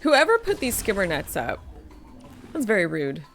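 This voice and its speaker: A woman's voice: mid-range voice, huge pitch swings, moderate pace, fairly bright tone, soft background music. A tired, slightly sniffly woman streaming a game, chatting casually, reading chat aloud and laughing often. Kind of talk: casual gaming livestream chat.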